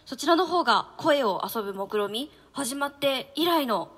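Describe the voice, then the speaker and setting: Female presenter with a high voice, chatting as co-host of a radio show. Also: lively intonation, normal speed, a bit bright.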